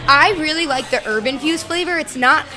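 A young woman with a raspy voice, a guest dropping in on a casual podcast and telling stories in detail. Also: high voice, a little fast. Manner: chatty, detailed storyteller. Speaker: guest from a nearby table. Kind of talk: casual chat. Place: busy taco restaurant. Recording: noisy restaurant with crowd and music. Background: restaurant chatter and music.

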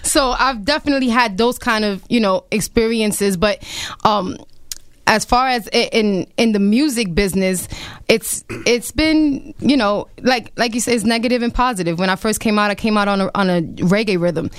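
A woman from New York with a New York accent, a singer talking as a radio guest in a strong, forceful way, full of fillers. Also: medium pitch, moderate pace, clean tone, no echo, studio quality. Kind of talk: casual radio interview.

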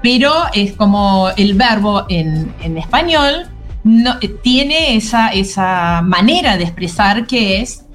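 A woman's voice: mid-range voice, expressive, takes it slowly, soft background music. A woman teaching an English class on the radio, explaining patiently and often checking in with a questioning "¿no?".

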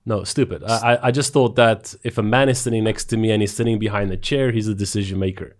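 Fast-talking South African man. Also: lowish voice, fairly even intonation. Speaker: sales expert, interview guest. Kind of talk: conversational explaining with acted-out example dialogue.

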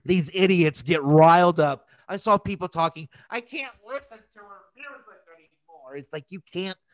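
Exasperated man ranting, slightly high voice. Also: expressive, moderate pace, no echo. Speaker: podcast host. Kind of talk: casual opinionated podcast chat.

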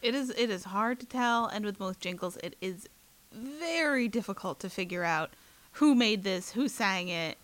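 A woman's voice: mid-range voice, animated, a bit bright, no room sound, poor audio. A woman co-hosting a podcast, chatting casually in a playful, joking way. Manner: playful, quick to joke. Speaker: podcast co-host. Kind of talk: casual chat.

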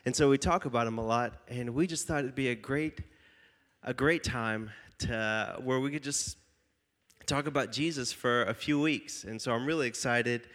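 A man's voice: mid-range voice, normal speed, fairly bright tone. A young man with a stuffy, slightly nasal voice from a cold, preaching casually and jokingly to a youth group and reading scripture aloud. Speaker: youth pastor.

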